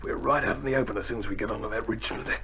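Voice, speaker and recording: An actor playing a steady, matey Australian soldier, laconic and down-to-earth, in a 1960s radio drama. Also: male voice, on the low side, racing, a crowd in the background, natural voice. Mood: wary, dogged. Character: cheerful, steady, laconic infantry soldier under fire. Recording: noisy old vinyl radio drama recording.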